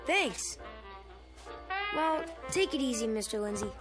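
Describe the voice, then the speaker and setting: A man's voice: very high voice, animated, a little slow, music in the background. A cheerful young errand boy. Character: eager young delivery boy, polite.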